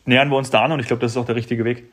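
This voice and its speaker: A man's voice: mid-range voice, little pitch movement, very fast, a bit bright, a little hiss or hum. Enthusiastic man hosting a shop tour. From Hesse, Germany. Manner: enthusiastic, with slangy praise. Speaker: podcast host. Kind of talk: casual interview chat. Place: small shop, indoors.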